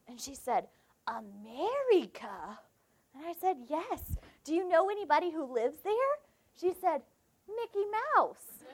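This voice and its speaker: A young woman from South Carolina with a Southern accent, giving a devotional sermon and telling a personal story, acting out the dialogue in it. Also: high-pitched. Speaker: preacher.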